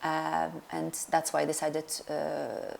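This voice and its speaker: Low gravelly Polish-accented woman. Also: monotone, slow, a little mellow. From Poland. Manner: hesitant, many fillers and restarts. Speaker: dentist and practice owner. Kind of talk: interview answers.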